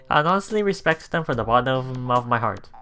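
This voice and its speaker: A man doing a let's play, reading story narration aloud with casual commentary, halting and chuckling as he goes, with game music behind him. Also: medium pitch, animated, bright, forceful tone. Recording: voice over game background music.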